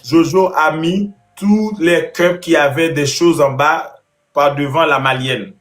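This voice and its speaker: A man's voice: on the high side, takes it slowly, soft background music. A man chatting casually as host, with a theatrical, boastful manner. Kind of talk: casual chat. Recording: roomy, echoey sound.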